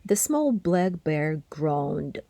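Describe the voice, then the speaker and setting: A woman narrating a children's story aloud expressively, with deliberate phrasing and hushed, breathy word endings. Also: low voice, big pitch swings, no room sound. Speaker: storybook reader.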